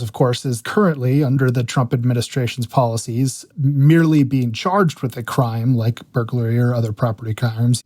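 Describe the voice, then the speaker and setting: Male reporter, mid pitch, dry recording. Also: a little mellow, dry recording. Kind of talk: interview explanation, measured and factual.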